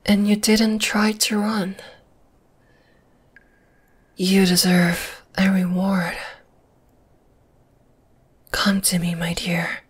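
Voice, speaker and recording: A woman's voice: medium pitch, flat delivery. Woman playing a whispering, possessive spider girl. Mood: tender, coaxing. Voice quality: breathy whisper. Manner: slow, deliberate, soothing yet menacing. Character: possessive, gently dominating spider monster girl. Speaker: ASMR roleplay voice actor. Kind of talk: whispered ASMR roleplay.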